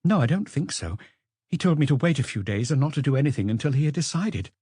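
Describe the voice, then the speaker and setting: Male narrator as young woman, higher pitch, anxious, hesitant, confiding, audiobook character, dry sound. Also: very animated, fast, darkish tone, husky, no room sound.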